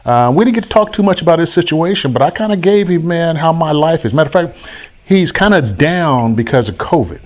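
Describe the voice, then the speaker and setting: A man hosting a call-in chat as a real estate coach, talking in an animated, preachy way and repeating himself for emphasis. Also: on the high side, brisk.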